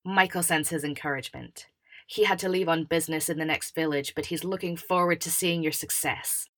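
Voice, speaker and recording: A woman's voice: low voice, fairly even intonation, fairly quick, fairly bright tone, no echo. A woman playing a pushy, self-assured brother in an audio drama, emphatic and cajoling. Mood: cajoling, insistent. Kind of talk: audio fiction character acting.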